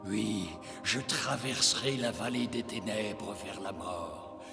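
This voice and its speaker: An actor playing an obsessed, pious and cruel archdeacon in a theatrical cartoon dub, speaking in a sententious, fervent, dramatic way. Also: male voice, lowish voice, takes it slowly, gravelly. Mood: solemn, fervent. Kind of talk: theatrical animated film dub. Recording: long hall reverb, music underneath.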